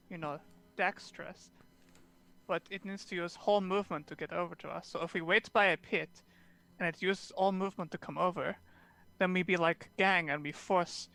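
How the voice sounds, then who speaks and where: A woman's voice: fairly low pitch, a bit bright. A woman doing an earnest warrior with a thick made-up accent. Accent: made-up fantasy accent. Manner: drops articles, clipped broken English. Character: earnest foreign warrior woman, broken grammar. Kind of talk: tabletop roleplay in character.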